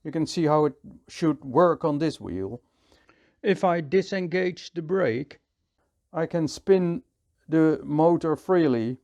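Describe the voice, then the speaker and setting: Slow, calm Dutch-accented repair man. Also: a little high. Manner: calm, thinking aloud while working. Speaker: repair technician. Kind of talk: hands-on repair tutorial.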